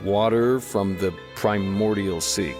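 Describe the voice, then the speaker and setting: A man voicing a dutiful court guard in a video game, delivering an official report in a formal, measured, matter-of-fact manner. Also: lowish voice. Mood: grave, official. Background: game music.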